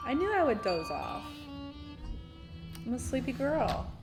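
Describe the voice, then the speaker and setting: A woman's voice: sits high, big pitch swings, unhurried. A woman streamer giving casual, chatty game commentary with joking, wry asides. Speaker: gaming streamer. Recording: game music behind voice.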